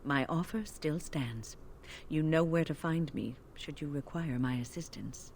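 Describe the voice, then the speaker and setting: An actress playing a calm, kindly older female healer mage with an earnest, even manner. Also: low-pitched, unhurried, slight background noise.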